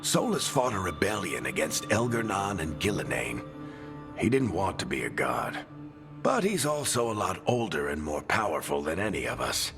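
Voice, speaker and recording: Music plays under a weathered adventurer from a fantasy game. His voice sits very low. He talks like a wry, encouraging mentor, folksy and reassuring. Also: very animated, moderate pace. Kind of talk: video game dialogue acting. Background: background music.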